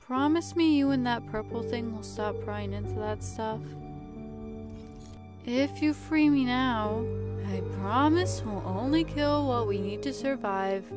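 A woman voicing a cocky, menacing female killer robot, smug and scheming, in an animated fan dub. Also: a little high, a little slow, lightly acted. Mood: coaxing, menacing. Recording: music behind.

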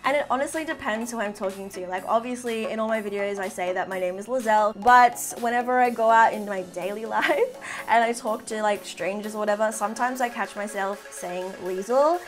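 A chatty young woman in her twenties talks straight to her camera at close range from a bedroom. Her voice sits a little high and she rambles with lots of "like"s. Faint music plays softly behind her. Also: fairly quick. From half Filipino. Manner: chatty, bubbly, many fillers like "like". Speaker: beauty vlogger. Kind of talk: casual chat to camera. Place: indoor bedroom with fairy lights.

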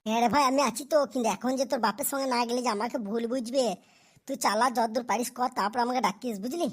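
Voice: mid-range voice, quick pace, natural voice. A performer voicing a cheeky, meddling, foul-mouthed wedding companion in a cartoon, thin-voiced. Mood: reassuring. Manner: meddling, teasing, crude. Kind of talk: amateur comedy cartoon voice acting.

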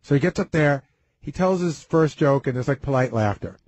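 Fast-talking husky man telling stories. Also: fairly high pitch, expressive, darkish tone. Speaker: radio show staffer on a talk panel. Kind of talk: casual chat and anecdotes.

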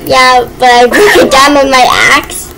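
A woman chatting casually and improvising role-play while gaming, excitable and bossy. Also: sits high, unhurried, over a noisy crowd. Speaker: gaming video player and role-play partner. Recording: noisy game-play voice recording.

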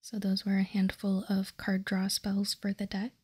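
A woman doing whispered ASMR in a soft, breathy, unvoiced whisper, reading out card text calmly and deliberately, close to the microphone. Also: medium pitch, no room sound. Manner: slow, deliberate, calm reading. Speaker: ASMR video host. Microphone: close microphone, dry sound.

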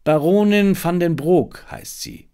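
A man's voice: high-pitched, slow, mellow, smooth, pitched above the performer's own voice. A man voicing a cunning fifteen-year-old Berlin street boy in an audiobook, reporting eagerly in clipped phrases. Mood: brisk, proud. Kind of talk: audiobook character reading.

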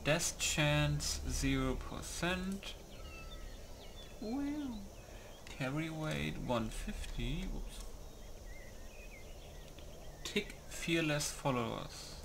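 A man's voice: a little high, expressive, very slow, gravelly, long pauses, background noise. A man giving casual gameplay commentary, muttering as he reads menu options aloud. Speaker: gamer recording a playthrough. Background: music.

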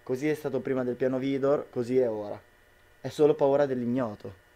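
A man's voice: medium pitch, steady tone, fairly quick, dark tone, lightly acted. A young man playing a worried, tender husband who earnestly reassures his partner in an amateur radio drama. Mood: reassuring, worried. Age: young adult.

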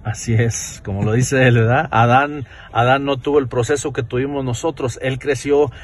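A man interpreting live between a preacher and an interviewer, speaking quickly with fillers and restarts. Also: medium pitch, fairly even intonation, slight background noise.

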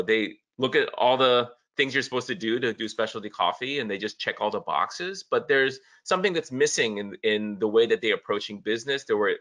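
A man's voice: lowish voice, a bit bright. A man who owns a café, speaking earnestly and emphatically as a guest in a video call interview. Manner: earnest, emphatic, repeats words.

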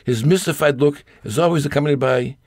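A man's voice: a little high, quick pace, darkish tone, dry recording, studio quality. An older man reading a scripted personal essay aloud in a wry, grumbling manner. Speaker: storyteller reading own essay.

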